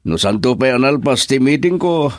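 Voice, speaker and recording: A man's voice: medium pitch, lively intonation, normal speed, dry recording, studio quality, lightly acted. An actor with a Filipino accent playing a commanding, busy male boss in a radio drama. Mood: brisk, commanding. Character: busy male boss giving orders to his driver.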